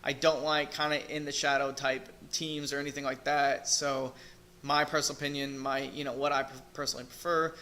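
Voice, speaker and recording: A man's voice: mid-range voice, flat delivery, a little fast, sharp tone. A man talking casually through a crypto project review, thinking aloud with lots of fillers while losing his voice. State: losing his voice, clearing throat. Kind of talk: casual screen-share review.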